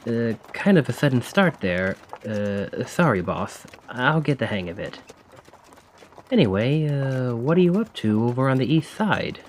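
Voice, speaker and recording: Man playing a slow-talking, cheeky carriage driver. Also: mid-range voice, a little mellow, dry recording. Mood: breezy, agreeable. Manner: casual, rambling, feigned awkwardness, insinuating. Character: chatty, cheeky stand-in carriage driver, slyly probing. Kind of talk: ASMR roleplay acting, addressing the listener.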